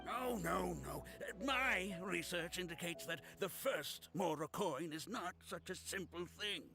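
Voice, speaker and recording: A man's voice: high-pitched, a little slow, vocal fry, bright, forceful tone, soft background music, exaggerated voice. An actor playing a pompous male archaeologist in a game, lecturing with boastful self-assurance. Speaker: game character.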